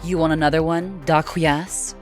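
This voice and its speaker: Wanita memerankan alien prajurit betina yang garang, bernada rendah. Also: female voice, low-pitched, steady tone, moderate pace, soft background music, voice pushed down, lightly acted. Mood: gruff menace. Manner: brash, casual, blunt. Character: brash, tough warrior-clan furry alien female. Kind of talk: audiobook character acting.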